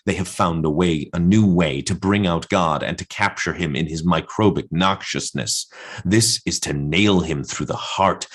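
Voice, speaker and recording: A man playing a defiant, obsessive poet-prophet, declaiming a blasphemous radio-play monologue with solemn, deliberate insistence. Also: sits low. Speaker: actor in an adapted radio play.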